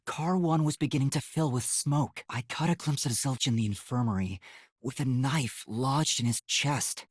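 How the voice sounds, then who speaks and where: A man's voice: medium pitch, breathy edge, no room sound, studio quality. A man voicing an earnest, timid yet determined young detective in a video game, boyish and carefully enunciated. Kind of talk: English video game voice acting, scripted.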